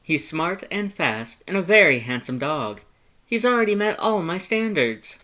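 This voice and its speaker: A man's voice: a little high, big pitch swings, a little slow, pushed and bright, voice pushed up, exaggerated voice. A man voicing a proud, earnest cartoon skeleton in a story, with a bombastic, formal and emphatic way of talking. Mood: bright, assured.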